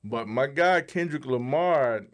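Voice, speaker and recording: A man co-hosting a podcast with casual topical commentary, halting and pausing in the middle of his thoughts. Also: mid-range voice, animated. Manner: halting, pauses mid-thought. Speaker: podcast co-host.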